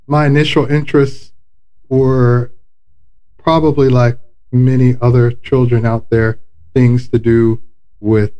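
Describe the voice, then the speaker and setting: A man from South Florida who works as an attorney, speaking in a measured, articulate way on a podcast. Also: medium pitch, dull audio. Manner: measured and articulate, with some fillers. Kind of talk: casual podcast interview.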